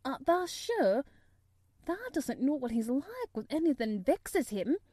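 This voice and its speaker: A woman's voice: high-pitched, sing-song, measured pace, mellow, no room sound, pitched above the performer's own voice. A woman voicing an anxious young maidservant with a broad Yorkshire accent, exclaiming in dialect. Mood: incredulous. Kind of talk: audiobook character voice.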